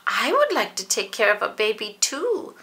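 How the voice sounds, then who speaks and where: A woman's voice: a little high, huge pitch swings, normal speed, bright, forceful tone, exaggerated voice. A woman voicing an eager, boastful little blue male monster in a storybook read-aloud.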